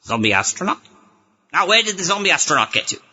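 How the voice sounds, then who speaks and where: A man's voice: high voice, big pitch swings, fast, muffled recording, heavy background noise. A man playing a pompous, theatrical mystic villain in a comedic audio drama, with a grandiose, declamatory delivery. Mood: menacing.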